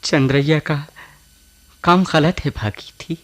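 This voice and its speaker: An actor in a radio play playing an anguished, defeated husband with a husky, breathy voice and slow, broken phrases. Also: male voice, on the high side, a bit bright. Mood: anguished, pleading.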